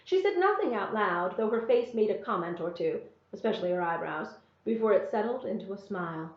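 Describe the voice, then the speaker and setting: A woman's voice: medium pitch, expressive, a little fast. Woman reading a story in a roomy space. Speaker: read-aloud narrator. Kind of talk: storybook read-aloud, first-person narration. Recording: roomy, slightly dull sound.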